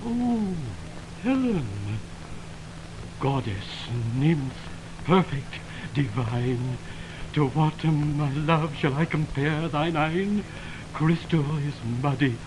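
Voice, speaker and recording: A man's voice: a little high, animated, drawn-out words. A man playing an ardent, spurned young lover, declaiming florid verse in a 1920s radio Shakespeare drama. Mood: rapturous. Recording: noisy 1929 radio aircheck from optical film.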